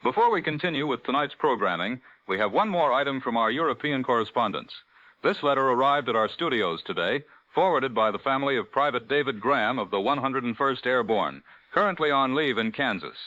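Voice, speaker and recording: Forceful, bright 1940s radio newsman. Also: medium pitch, animated, no echo. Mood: formal. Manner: formal, measured. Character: 1940s male radio newscaster, measured and authoritative. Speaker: radio announcer and news anchor. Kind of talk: 1940s radio broadcast acting. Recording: dull vintage radio broadcast sound.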